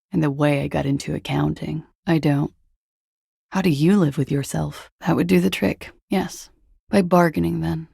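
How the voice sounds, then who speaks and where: An actress playing a weary, guarded young female corporate spy in a video game, with a smoky, deadpan, confessional delivery. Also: sits low, vocal fry, husky, no echo, studio quality, heavily acted. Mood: bitter remorse, then wry, deadpan. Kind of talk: video game character dialogue.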